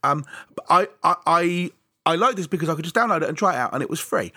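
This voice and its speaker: Bright, lively chatty man. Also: on the high side, no echo, studio quality. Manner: chatty, self-deprecating, laughs often, halting restarts. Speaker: podcast co-host. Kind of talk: casual chat.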